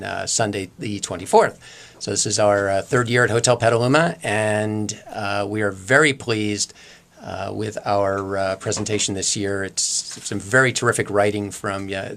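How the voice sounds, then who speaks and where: Man, slightly low voice, halting measured delivery, producer promoting a show, radio interview. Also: fairly bright tone.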